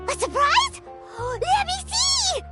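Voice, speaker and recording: A woman voicing a tiny, childlike, excitable fairy companion in a video game, squeaky and full of wide-eyed exclamations. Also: takes it slowly, full character voice. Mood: amazed. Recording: loud music under the voice.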